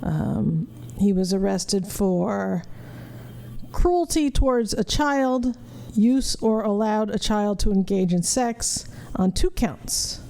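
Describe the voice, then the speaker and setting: Middle-aged female podcast host, mellow and unhurried, blunt and casual. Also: medium pitch, expressive, gravelly. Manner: blunt, profane. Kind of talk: casual watch-along commentary.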